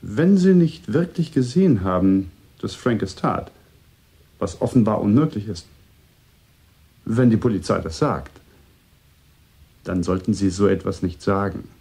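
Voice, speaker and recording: Composed, mellow, husky man. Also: lowish voice, lively intonation, exaggerated voice. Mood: calm, reproving. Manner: measured, polite, questioning. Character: composed, politely probing man, quietly protective. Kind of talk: radio drama acting.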